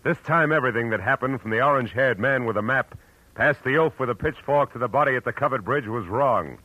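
An actor playing a hard-boiled, world-weary private detective in a 1940s radio drama, terse, wry and tough-talking. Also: male voice, medium pitch, fast talker, no echo, highs cut off, close to an everyday voice. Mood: grim, emphatic. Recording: vintage radio recording, music underneath.

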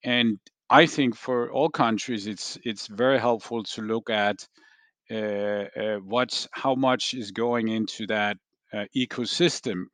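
A man from Denmark with a Danish accent explains research findings in discussion, haltingly, repeating words as he thinks. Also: lowish voice, fairly even intonation, fairly bright tone, dry recording. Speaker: research analyst, interviewee.

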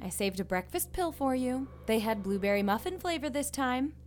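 Teasing mom voice, brisk, a bit high, off mic. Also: female voice, expressive, darkish tone, a little hiss or hum. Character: teasing, sharp middle-aged mother.

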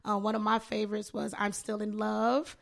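A woman's voice: medium pitch, monotone, normal speed, creaky. A woman hosting a podcast, talking in a playful, hyped, slangy way as she interviews a guest. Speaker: podcast host. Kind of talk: casual interview chat.

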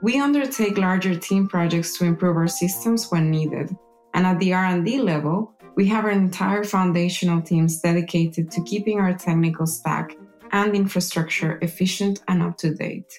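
Lower-pitched woman, scripted narration over music. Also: darkish tone. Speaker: engineering manager. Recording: music behind the voice.

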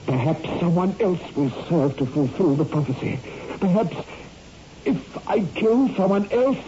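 An actor playing a tormented married doctor driven toward murder, halting and melodramatic, in 1960s radio horror style. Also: male voice, high-pitched, expressive. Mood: anguished, desperate. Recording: muffled, noisy old radio recording.

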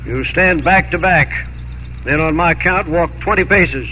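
A man's voice: fairly high pitch, a little slow, slight background noise, natural voice. An actor playing a stern, blustery older Texan father in a 1950s radio Western drama. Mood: grave, formal. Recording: echoey, muffled old 1950s radio.

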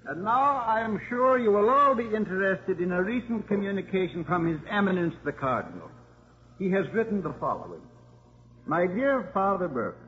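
An actor playing an earnest priest making a formal, deliberate announcement in a 1950s radio drama. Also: male voice, high-pitched. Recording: muffled, noisy 1950s radio broadcast.

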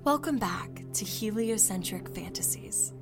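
An earnest, portentous woman hosting a conspiracy video in an audio drama. She speaks very slowly and deliberately, posing rhetorical questions, with prominent music behind her. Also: medium pitch, lively intonation. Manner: deliberate, pseudo-philosophical, rhetorical. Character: Karen, earnest, portentous flat-earth video host.

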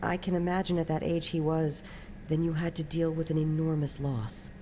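An actress playing a calm female therapist who questions gently in a measured, clinical way, in a naturalistic audio drama. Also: sits low, a little hiss or hum, lightly acted. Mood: calm, probing.

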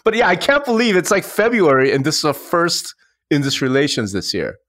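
A man's voice: a little high, sing-song, a little fast, a bit bright. A man co-hosting a podcast in a casual, slangy and joking way. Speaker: podcast co-host.

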